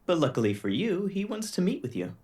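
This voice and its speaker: A man's voice: sits high, big pitch swings, brisk, darkish tone. A young man playing a reassuring, polite agent in his mid-twenties in an audio drama. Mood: calm, reassuring.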